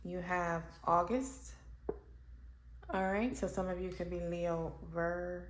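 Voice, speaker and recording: Slow, musing woman reading tarot cards. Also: fairly low pitch, steady tone. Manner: slow, musing, thinking aloud with pauses and fillers. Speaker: tarot reader. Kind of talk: casual pick-a-card tarot reading. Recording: echoey room with faint background noise.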